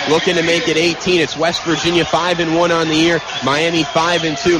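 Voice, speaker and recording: A man doing live play-by-play basketball commentary for radio, with a clipped delivery. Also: on the high side. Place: live from a college basketball arena. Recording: noisy arena radio broadcast.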